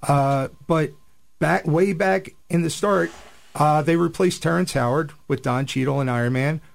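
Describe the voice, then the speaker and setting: Gravelly, fairly high-voiced man chatting. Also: a little slow. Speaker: podcast panelist and science fiction fan. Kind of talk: casual opinionated panel chat.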